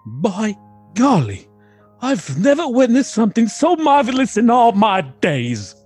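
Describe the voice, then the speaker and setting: A man playing a country husband turned pompous, genteel gentleman, speaking flowery lines in a broken British accent for a comedy story. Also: sits high, wildly swooping pitch, a little slow, husky, soft background music, full character voice. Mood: marvelling. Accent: put-on broken British.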